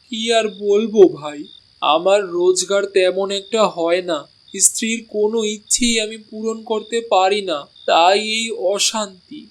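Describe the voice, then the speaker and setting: A man's voice: high-pitched, measured pace, poor audio. A man voicing an honest, humble village butcher in a cartoon, with an earnest and plaintive way of talking. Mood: dejected. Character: honest, downtrodden village meat seller, a husband. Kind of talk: Bengali cartoon dialogue. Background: soft music.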